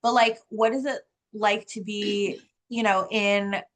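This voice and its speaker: A woman's voice: mid-range voice, slow. A woman, chatting casually as an author guest in an interview and telling things with animation, often saying "like". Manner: animated storytelling, heavy use of "like".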